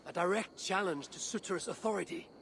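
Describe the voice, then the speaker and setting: An actor playing a commanding, persuasive godly father in a video game cutscene, speaking formally and in a measured way. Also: sits high, vocal fry, a bit bright, a little hiss or hum. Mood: firm, persuasive. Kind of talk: dramatic game cutscene acting.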